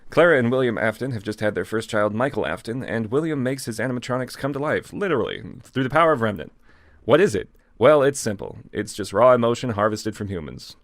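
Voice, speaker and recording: A man's voice: mid-range voice, lively intonation, fast, dry recording. A man casually reading aloud and riffing on it with joking, sarcastic asides, as a host. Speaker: host reading a fan fiction aloud with commentary.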